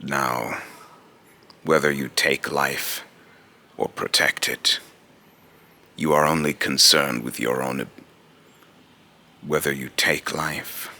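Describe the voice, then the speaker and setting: A man's voice: extremely low pitch, vocal fry, pushed and bright, full character voice. A man voicing a vengeful, grave god who judges mortals, speaking in solemn, accusing, taunting questions in a dramatic monologue. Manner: slow, solemn, deliberate, taunting rhetorical questioning. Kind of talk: amateur voice-acting monologue with retakes.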